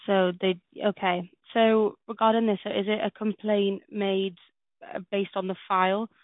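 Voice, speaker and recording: A woman's voice: medium pitch, level tone, moderate pace, dry recording. Welsh woman caseworker, courteous and brief, on a thin, dead-sounding phone line. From Wales. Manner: polite, apologetic, brief replies. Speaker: caseworker taking an application call. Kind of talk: phone conversation. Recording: tinny dry phone line.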